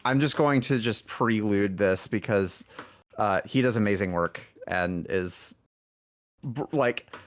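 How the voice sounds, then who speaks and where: A man with a fairly low voice talks casually as a game developer in a group interview. He rambles and hesitates, padding his sentences with fillers. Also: slow pace.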